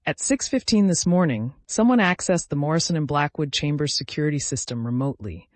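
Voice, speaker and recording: A man's voice: a little high, animated, brisk, no room sound, natural voice. Man reading as a terse, grim woman detective. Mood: grim urgent. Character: grim, businesslike female police detective.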